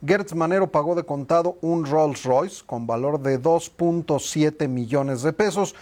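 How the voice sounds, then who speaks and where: A man's voice: a little high, unhurried, darkish tone. A man with a Mexican accent reading a news report with an ironic touch. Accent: Mexican Spanish.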